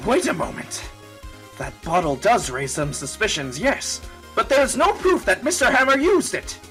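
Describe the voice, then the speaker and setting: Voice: medium pitch, big pitch swings, normal speed, music in the background, natural voice. A performer playing a pompous, easily shocked male judge in an amateur courtroom game fandub. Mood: considering.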